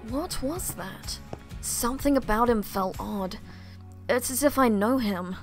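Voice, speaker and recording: A woman's voice: a little high, measured pace, faint music behind. A woman voicing a weary, regretful woman. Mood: reflective.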